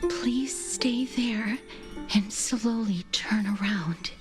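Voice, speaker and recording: Cursed, sorrowful young maiden: slow, hushed, apologetic, fairly high voice. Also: female voice, music in the background. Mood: hushed, regretful. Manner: soft, whispery, slow and apologetic. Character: gentle, sorrowful young woman with a deathly curse.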